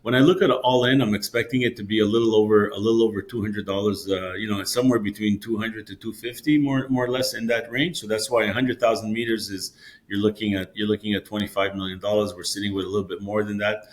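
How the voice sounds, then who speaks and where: A mining executive talks through costs and plans in an investor interview. He is a man with a somewhat low voice. He stops and starts often, repeats words, and falls back on "you know" and "uh". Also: fairly even intonation. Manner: halting, many repeated words and fillers.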